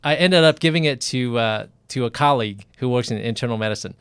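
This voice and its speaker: A man's voice: mid-range voice, fast talker, a bit bright, smooth, dry recording, studio quality. A man hosting a casual live video interview, talking enthusiastically with many restarts and fillers. English is not his first language.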